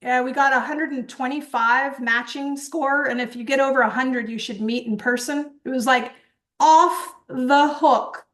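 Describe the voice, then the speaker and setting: High-voiced, animated woman coach. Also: unhurried. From born in Japan, grew up in England. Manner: animated, rambling storytelling with frequent fillers and restarts. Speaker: host and coach presenting a certification course. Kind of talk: enthusiastic webinar presentation.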